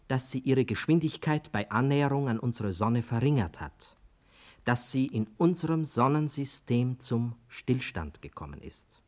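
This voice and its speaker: A man with a mid-pitched voice narrates as a 1950s radio presenter. He speaks formally from a script and shapes his phrases deliberately, with clear emphasis. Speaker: presenter giving the recap.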